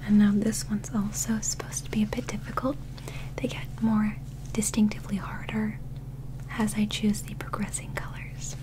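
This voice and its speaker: A young woman doing a soft-spoken ASMR medical roleplay in a breathy whisper, with gentle, measured, clinical instructions. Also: medium pitch, level tone, poor audio. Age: young adult. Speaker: ASMR performer playing an alien medical examiner. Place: small indoor set.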